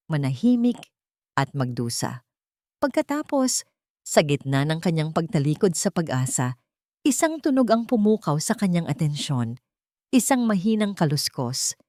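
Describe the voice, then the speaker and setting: A woman's voice: lowish voice, a little mellow, dry recording, studio quality. A woman reading a scripted story as a dramatic narrator.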